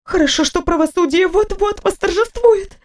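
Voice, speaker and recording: A woman voicing a fussy, talkative young female nurse with a high, ringing voice. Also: moderate pace. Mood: chattering.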